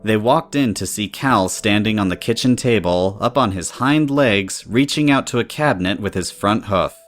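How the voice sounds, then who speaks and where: A man's voice: lowish voice, normal speed, a bit bright, soft background music. A man reading scripted story narration in the third person.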